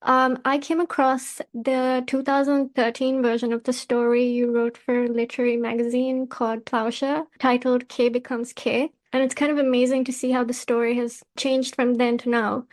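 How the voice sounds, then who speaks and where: A woman hosting a podcast, putting prepared interview questions in a measured, polite way. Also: fairly high pitch, level tone.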